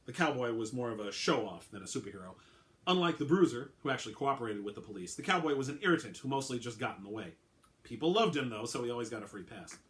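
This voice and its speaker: A man narrating his own short story in a calm, measured reading. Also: mid-range voice.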